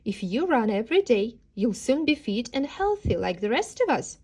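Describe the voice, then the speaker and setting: A woman voicing a brisk, teasing, sporty young girl in a children's storybook. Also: a little high, big pitch swings, darkish tone, breathy edge, heavily acted. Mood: brisk, bright. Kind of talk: children's storybook read-aloud character.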